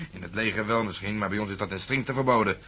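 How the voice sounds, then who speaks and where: An actor playing a businesslike police inspector in a radio play, speaking with authority. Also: male voice, fairly low pitch, a little fast, pushed and bright, slightly rough. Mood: measured. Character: male police inspector, businesslike, orderly, in command. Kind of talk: radio drama acting. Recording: faintly noisy radio play recording.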